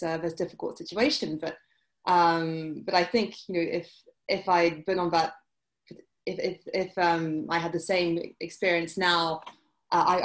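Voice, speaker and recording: A woman in her forties with a British accent, answering interview questions over a video call, halting with many restarts. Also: on the low side. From UK and France. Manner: hesitant, many restarts and fillers. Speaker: guest, journalist. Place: indoor room, video call. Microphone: laptop webcam mic.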